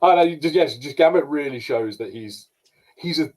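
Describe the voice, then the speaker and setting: A man's voice: a little high, moderate pace, a little mellow. A man co-hosting a podcast, discussing things casually as a fan. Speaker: podcast co-host.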